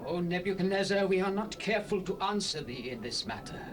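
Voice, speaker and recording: An actor playing a steadfast, defiant captive servant of God, declaiming formally and earnestly in a dramatized scripture. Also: male voice, sits high, animated, a little fast, over music. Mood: resolute defiance. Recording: distant voice on 1970s cassette.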